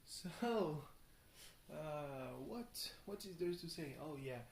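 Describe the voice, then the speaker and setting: A tired young man rambles casually through a video diary, halting and thinking aloud, often questioning himself. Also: fairly high pitch, lively intonation. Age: young adult. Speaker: video blogger. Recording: roomy echo, faint background noise.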